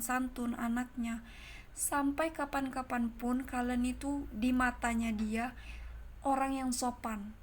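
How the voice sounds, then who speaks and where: A woman with a fairly high voice runs an online language lesson. She says each example phrase slowly and clearly, then explains it in a patient, chatty way. A faint hum of background noise sits under her voice. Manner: explains slowly and repeats example sentences, addresses viewers as friends. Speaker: Japanese language teacher.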